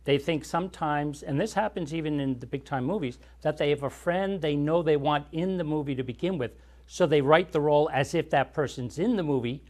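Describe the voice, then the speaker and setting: A man's voice: fairly high pitch, a little fast, a little mellow. A man hosting a classic movie show, chatting with and interviewing young guests in an explanatory, friendly way. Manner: friendly, explanatory, asks follow-up questions. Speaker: host.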